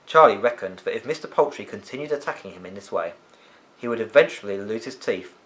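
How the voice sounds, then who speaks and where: A man reading a children's story aloud as an expressive storyteller. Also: fairly low pitch, quick pace, rough recording. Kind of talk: animated children's audiobook narration.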